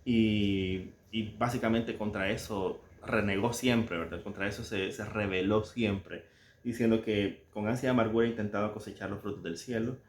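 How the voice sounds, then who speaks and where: A man from El Salvador with a Salvadoran Spanish accent, talking casually and reflectively about a book he loves, searching for words with soft chuckles. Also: on the low side, steady tone, moderate pace, reverberant. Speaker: book reviewer. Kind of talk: casual spoken book commentary.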